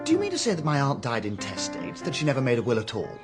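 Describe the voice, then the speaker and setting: An actor playing a troubled, conflicted young gentleman in a British radio drama, well-spoken and earnest, with an English accent. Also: medium pitch, wildly swooping pitch, fast, over loud music. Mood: puzzled.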